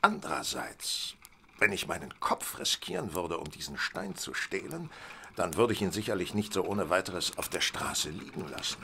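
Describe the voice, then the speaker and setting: An actor playing an assured, keen-witted gentleman detective in an audio drama, speaking in a theatrical, deliberate way. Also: low voice, sing-song, vocal fry, slight background noise, exaggerated voice. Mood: reasoning, amused. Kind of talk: German audio drama acting.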